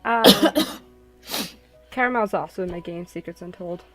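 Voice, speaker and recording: Woman host chatting over clear music. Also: lowish voice, slow. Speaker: host, visual novel developer. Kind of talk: casual chat.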